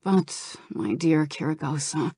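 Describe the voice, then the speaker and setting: A woman reading an audiobook, voicing a troubled young male dragon leader who speaks earnestly and gravely. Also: low-pitched, animated, slow pace, mellow, no room sound, full character voice. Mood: somber.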